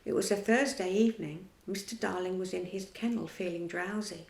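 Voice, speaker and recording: A woman's voice: on the low side, brisk, reverberant. Woman reading a children's story. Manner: clear, steady storybook reading.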